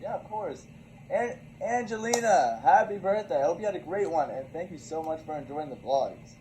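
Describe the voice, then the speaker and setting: A man's voice: a little high, lively intonation, normal speed, a little mellow. Male streamer, casual and upbeat, noisy clip with ambience behind. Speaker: livestreamer.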